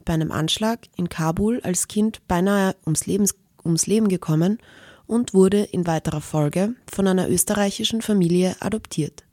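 A woman radio host reads her introduction and asks her questions in a plain, matter-of-fact way. Her voice is low and warm. It sounds dry and close to the mic. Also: moderate pace, no room sound. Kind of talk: scripted introduction, then interview questions.

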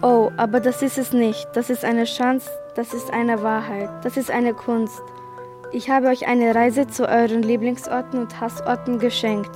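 A woman's voice: a little high, level tone, a little slow, natural voice. A woman playing a smug, gloating famous author who declaims in repeated phrases in a radio play. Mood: triumphant. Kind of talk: school radio play acting. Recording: music bed behind the voice.

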